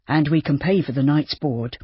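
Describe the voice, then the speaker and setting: A polite young man with a dry, wry manner, voiced by a storyteller. The voice is husky and breathy. The recording is dry and somewhat muffled. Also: medium pitch, moderate pace, no room sound, lightly acted.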